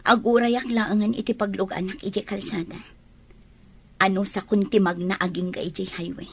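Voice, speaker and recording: An actress playing a heartbroken, regretful young daughter in a Filipino radio drama, with an Ilocano accent and a husky voice. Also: female voice, mid-range voice, level tone, normal speed. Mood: resolute, hurt.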